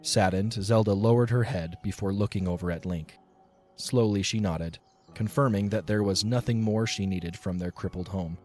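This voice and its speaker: A man with a fairly low voice narrates an audio drama. His storytelling is scripted, measured and descriptive, with soft music underneath.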